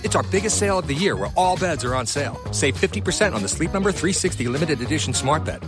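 A man's voice: fairly high pitch, lively intonation, fast. A man reading a scripted commercial as an advertisement announcer. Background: clear music bed.

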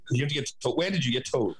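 A man with a Northern Irish accent in casual podcast chat, telling anecdotes in an animated, sweary way. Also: mid-range voice, brisk, husky. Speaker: podcast host.

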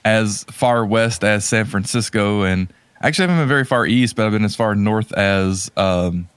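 A man's voice: on the low side, moderate pace, clean tone, no room sound. A man in casual chat as a podcast guest, rambling and slangy with a jokingly exasperated manner.

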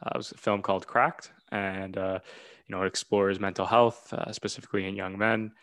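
A man's voice: sits low, level tone, brisk, fairly bright tone, no room sound. A man hosting a casual conversational interview, talking in a chummy way with many "you know" asides. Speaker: host and film director.

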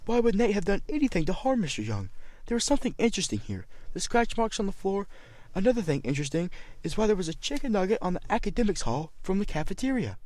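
A man's voice: high voice, very animated, fast, a little mellow, no echo, voice pushed up. A man voicing an earnest, determined amateur sleuth with an emphatic, questioning manner for a mystery story. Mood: suspicious, puzzled.

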